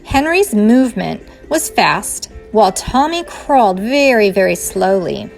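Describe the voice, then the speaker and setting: A woman's voice: medium pitch, animated, a little slow, vocal fry, a little mellow, soft background music. A woman reading a children's storybook aloud in the style of a teacher.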